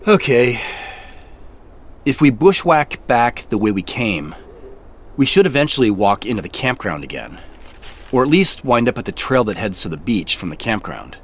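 Earnest, puzzled man, swooping pitch. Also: sing-song, moderate pace. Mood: puzzled, frustrated. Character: earnest, puzzled man searching woods at night for treasure. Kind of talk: naturalistic audio drama dialogue.

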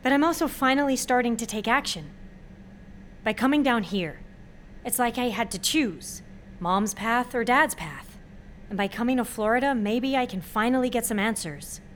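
A woman's voice: fairly high pitch, normal speed, a little hiss or hum, lightly acted. An actress playing a sheltered, anxious young female college student who speaks candidly in a naturalistic audio drama. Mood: uneasy, wry. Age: young adult.

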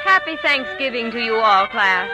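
Actress as high-voiced, hearty schoolteacher. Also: female voice, lively intonation, moderate pace, over loud music, close to an everyday voice. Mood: cheery. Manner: formal, hearty classroom announcing. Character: cheerful schoolteacher addressing her class. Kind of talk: 1940s radio drama acting.

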